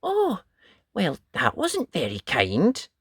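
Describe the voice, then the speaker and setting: A storyteller playing a plucky little storybook girl, pitched high and full of eager swoops. The child sounds earnest, kind and brave. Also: huge pitch swings, slow pace, a bit bright, breathy edge, no room sound, studio quality, full character voice. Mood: reproachful.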